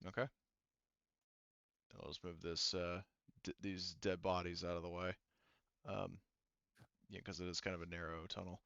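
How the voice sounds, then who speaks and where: A man's voice: deep voice, level tone, moderate pace, vocal fry, pauses often, fairly bright tone, smooth, dry recording, dull audio. A man acting as game master, narrating a tabletop roleplaying game in a casual, hesitant way. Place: indoors, online voice session. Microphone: headset.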